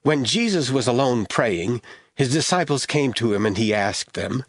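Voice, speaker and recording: A man reading scripted Bible narration as an expressive storyteller for an audio drama. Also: mid-range voice, normal speed, no room sound, soft background music.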